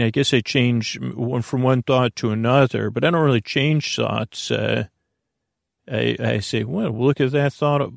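A man with a creaky voice hosting a sleep podcast in a lulling, meandering, hesitant monologue full of halting tangents. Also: mid-range voice, no echo, studio quality. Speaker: podcast host. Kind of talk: rambling, soothing bedtime podcast monologue.

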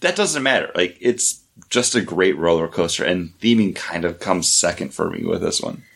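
A man co-hosting a podcast, chatting excitedly about theme park rides with lots of "like" fillers. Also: low-pitched, normal speed, gravelly. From lives in Orlando. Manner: excited, filler-heavy storytelling. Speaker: podcast co-host. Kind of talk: casual chat.

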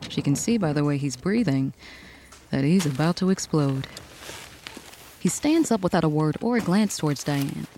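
A woman's voice: low-pitched, expressive, moderate pace, pauses often, dark tone, slight background noise. A woman reading scripted, dramatic story narration for a fiction podcast.

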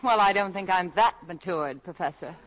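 A woman's voice: lowish voice, moderate pace, a crowd in the background, natural voice. An actress playing a mature, genteel woman new to college, polite and easily flustered, in 1940s radio style. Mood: indignant, prim. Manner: polite, hesitant, ladylike, with prim indignation. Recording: dull 1940s radio broadcast.

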